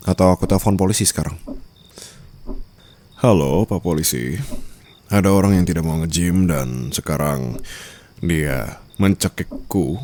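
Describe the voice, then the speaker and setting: A man's voice: warm tone, lightly acted. A man playing a dominant, menacing rich husband in an ASMR roleplay, murmuring taunts intimately and slipping into whispers and English endearments. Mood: mocking. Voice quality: very deep, gravelly. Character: dominant, menacing wealthy new husband toying with treacherous bride.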